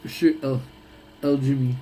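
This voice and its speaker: A man's voice: medium pitch, little pitch movement, unhurried, mellow, a little hiss or hum, low-quality recording. A young man hosting a casual lunch-break live stream, rambling and laughing often, with a voice that sounds congested. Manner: rambling, laughs often, trails off mid-thought.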